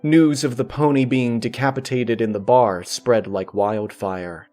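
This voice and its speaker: A man's voice: lowish voice, darkish tone. A man reading scripted story narration as a storyteller.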